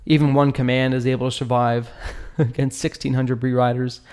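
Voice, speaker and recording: A man's voice: mid-range voice, level tone, normal speed, a little mellow, clean tone. A man hosting a gaming channel, giving casual commentary as he analyses a battle, with informal, slangy, joking asides.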